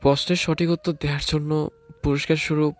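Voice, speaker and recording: A man's voice: fairly high pitch, flat delivery, quick pace, lightly acted. A man playing a reserved, proper teacher in a scripted audio drama. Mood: measured. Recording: music behind the voice.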